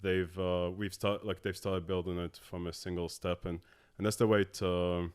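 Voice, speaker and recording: A man in his thirties from Abu Dhabi, a tech co-founder talking as a podcast guest in a halting way with many filler sounds. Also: sits low, little pitch movement, clear, resonant tone. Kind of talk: casual interview talk.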